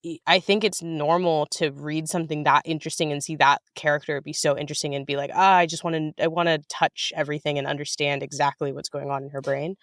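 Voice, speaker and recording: A woman's voice: low-pitched, level tone, quick pace, hardly any pauses, no room sound, studio quality. A woman answering as an interview guest in casual, thoughtful talk, often rephrasing and circling back as she goes. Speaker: interview guest, writer of audio fiction.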